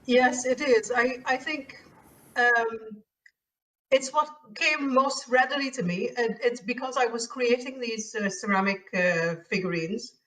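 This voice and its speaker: A woman's voice: on the high side, measured pace, pushed and bright, distant mic, rough recording. A woman from Guyana, an author answering interview questions in a thoughtful, hesitant way with many fillers. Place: abroad in the Netherlands.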